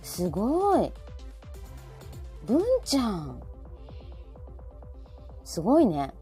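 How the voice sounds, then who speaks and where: A woman's voice: mid-range voice, huge pitch swings, extremely slow, mellow. A woman with a Kansai accent hosting a casual live chat, talkative and often chiming in with repeated short responses. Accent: Kansai Japanese. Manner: chatty, frequent repeated backchannels. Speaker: talk show host. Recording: background music behind the talk. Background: background music.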